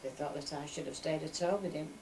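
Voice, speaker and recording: Elderly woman, hesitant and low. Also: fairly even intonation, quick pace, gravelly, a little mellow, a little hiss or hum, poor audio. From Manchester, England. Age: older. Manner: hesitant, repeats herself. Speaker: interviewee. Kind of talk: casual family chat.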